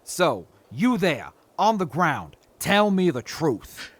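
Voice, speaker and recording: A man's voice: on the high side, very animated, takes it slowly, no room sound, heavily acted. A man playing a shrewd, controlled wasteland negotiator in an audio drama. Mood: suspicious, probing. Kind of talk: post-apocalyptic audio drama acting.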